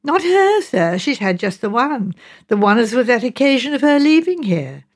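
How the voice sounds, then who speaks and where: Woman acting voluble, indignant village mother, swooping pitch. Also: sing-song, moderate pace. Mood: indignant, insistent. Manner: rambling, deferential, repeating "sir", ungrammatical rustic speech. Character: garrulous, indignant working-class village mother, respectful but firm. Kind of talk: audiobook character dialogue.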